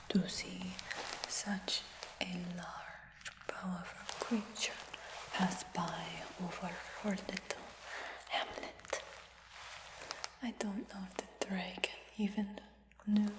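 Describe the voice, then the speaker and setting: A woman whispering softly in a fantasy roleplay, speaking gently to the listener. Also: medium pitch. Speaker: ASMR roleplay creator. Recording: roomy whisper with long reverb.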